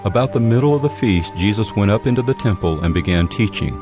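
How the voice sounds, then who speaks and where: A man with a low, deep voice narrates a dramatized Bible. His delivery is measured and solemn, with music clearly playing underneath. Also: animated.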